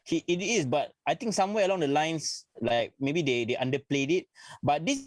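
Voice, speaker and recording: Male co-host, eager, says bro a lot, higher pitch. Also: normal speed, no room sound. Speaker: podcast co-host. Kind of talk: casual wrestling chat.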